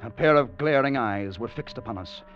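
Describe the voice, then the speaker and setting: An actor in an old radio drama playing a tense, haunted adventurer telling of his expedition, dramatic and at times halting. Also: mid-range voice, lively intonation, soft background music, natural voice. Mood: tense, suspenseful. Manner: dramatic, hesitant and halting in dialogue. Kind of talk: 1940s-50s radio drama narration and acting. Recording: dull old radio recording.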